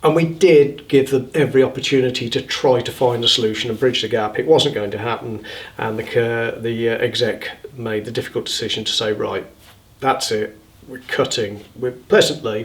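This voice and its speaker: Mature man, interview recollection, halting, explanatory, medium pitch, slightly distant mic. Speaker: theatre committee interviewee.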